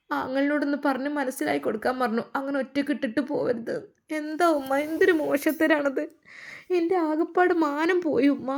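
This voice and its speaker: Brief: woman playing a tearful young wife, high and pleading. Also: darkish tone.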